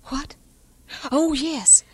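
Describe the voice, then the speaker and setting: A woman's voice: sits high, drawn-out words, a little mellow. A woman playing a timid, lovestruck young missionary in an audio drama, earnest and hesitant. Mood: excited.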